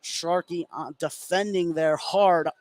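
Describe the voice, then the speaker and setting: A man's voice: fairly high pitch, steady tone, takes it slowly, a little mellow. An American man doing live radio basketball play-by-play as the game's commentator. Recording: noisy gym ambience behind commentary.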